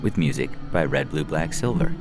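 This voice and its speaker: A man's voice: extremely low pitch, animated, normal speed, music in the background. A man reading comic science fiction stories as a scripted narrator and announcer. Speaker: story reader and announcer.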